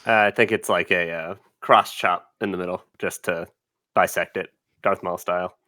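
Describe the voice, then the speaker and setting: A man's voice: sits low, fairly even intonation, moderate pace, sharp tone, no echo, studio quality. A man playing a tabletop roleplaying game, talking casually in a cocky, jokey, punchy way.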